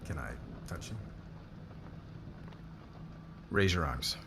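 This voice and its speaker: A man acting a demanding, curt fashion boss who gets flustered by attraction, in an ASMR roleplay with clipped orders and hesitant pauses. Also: low voice, lively intonation, gravelly, noisy background. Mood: awkward, hushed.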